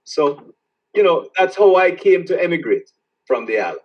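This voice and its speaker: A man from Jamaica with a Jamaican accent, an author answering interview questions in a reflective, halting way that repeats words as he starts each thought. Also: a little high, big pitch swings, recorded from a distance, muffled recording. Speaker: author guest.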